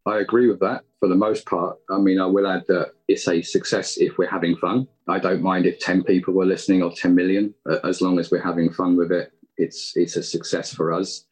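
Low, husky man talking casually. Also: level tone, brisk, a little mellow, poor audio. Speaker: guest, audio drama writer and editor. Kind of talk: casual interview talk.

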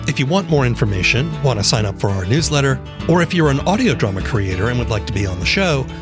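A man hosting a podcast, reading scripted narration in a measured, presentational way. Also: mid-range voice, big pitch swings. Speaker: podcast host. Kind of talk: scripted narration and interview questions. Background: guitar music.

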